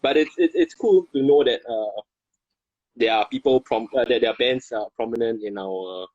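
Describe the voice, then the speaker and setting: A man from Singapore with a Singaporean English accent, chatting as a guest, halting and repeating words. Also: medium pitch, a little mellow, dry recording, highs cut off. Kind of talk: casual chat.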